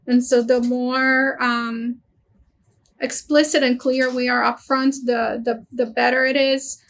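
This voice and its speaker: A woman's voice: high-pitched, level tone, slow, fairly bright tone. A woman from Romania, a Romanian native speaker, talking in a webinar discussion over a video call, often repeating words as she searches for phrasing. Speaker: guest speaker, international office director.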